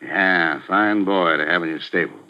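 An actor playing a ruthless middle-aged gambling boss, talking tough street slang in a slow, menacing drawl, in 1940s radio acting. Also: male voice, low voice, creaky. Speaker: gambling house owner. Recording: muffled 1940s radio broadcast.

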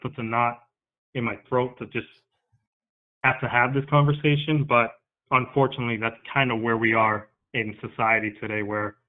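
A man in his thirties from New York speaks as an interview guest. His mid-range voice is earnest and halting, pausing to find words. It comes through a narrow, tinny phone-like line. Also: medium pitch. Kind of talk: candid interview talk.

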